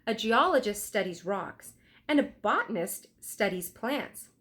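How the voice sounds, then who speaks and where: A woman's voice: medium pitch, expressive, a little slow, a bit bright. A woman reading a children's storybook, voicing an earnest, bookish young boy explorer with emphatic, explanatory delivery. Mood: studious. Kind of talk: children's storybook read aloud.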